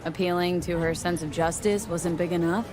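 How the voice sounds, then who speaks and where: V, a lowish-voiced streetwise mercenary played by a woman. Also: fairly even intonation, moderate pace, over music, close to an everyday voice. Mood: wry, cool. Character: streetwise, dry-witted female mercenary, game protagonist. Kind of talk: video game cutscene acting.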